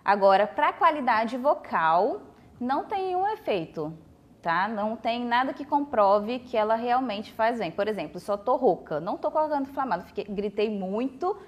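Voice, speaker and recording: A woman's voice: fairly high pitch, animated, normal speed. A woman with a Brazilian Portuguese accent, a speech therapist explaining voice care on a TV talk show in a patient way. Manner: patient, explanatory, uses many fillers like "né" and "tá". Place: TV studio.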